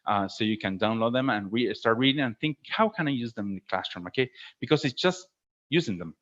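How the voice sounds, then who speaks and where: A man's voice: medium pitch, animated, brisk, fairly bright tone. Man explaining, dull online-call audio. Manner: explanatory, often checking with "okay?". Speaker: teacher trainer giving a webinar. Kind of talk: answering audience questions in a teaching webinar.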